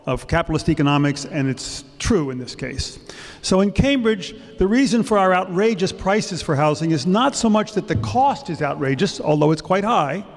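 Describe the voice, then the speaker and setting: An older man with a gravelly, slightly high voice lectures with emphasis to a public audience. He holds a handheld microphone, and a long reverb from the hall trails his words. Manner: explanatory, emphatic, loud voice. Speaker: housing expert giving a talk.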